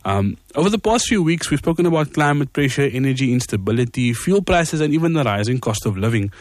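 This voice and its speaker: A man's voice: mid-range voice, big pitch swings, fast, dry recording. A man hosting an evening radio talk show from the studio, upbeat and conversational. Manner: upbeat, conversational, occasional laughs. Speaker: radio host.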